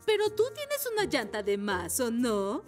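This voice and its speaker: A sassy little cartoon scooter girl, full of teasing. Her voice is high and lively, drawn out slowly, with music behind. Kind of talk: animated children's dub.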